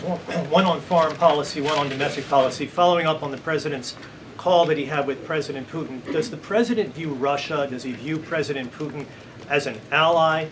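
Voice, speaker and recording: A man asks formal press questions in a measured manner. His voice is a little higher than most men's. Clear room noise runs behind him. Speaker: reporter. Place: indoor press briefing room. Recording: noisy live briefing room.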